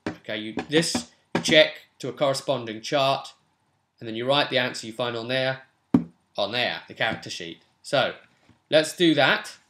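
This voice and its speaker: A man's voice: medium pitch, expressive, normal speed, noisy background. A young man hosting a casual tabletop role-playing tutorial as game master, chatty and given to jokey asides, recorded at home in a small room. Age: young adult. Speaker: game master and channel host.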